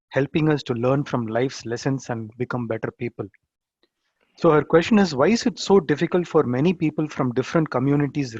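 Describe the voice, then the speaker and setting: A male moderator with a husky, mid-pitched voice reads questions out politely and a little hesitantly. The online call sounds dull and very dry. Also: darkish tone, no room sound. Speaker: moderator reading audience questions. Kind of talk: reading out questions. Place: online video meeting.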